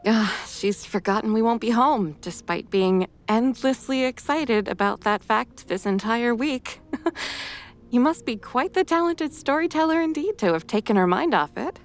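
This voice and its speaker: A woman voicing a gracious, softly amused mother in an animated comic drama, polite and articulate. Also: a little high, moderate pace. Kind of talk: animated motion-comic voice acting.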